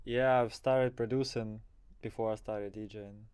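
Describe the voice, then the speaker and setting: Man, very crisp resonant voice, halting phrasing, casual interview answers. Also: lowish voice, fairly even intonation, darkish tone. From Kazakhstan. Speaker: interviewed DJ and techno producer.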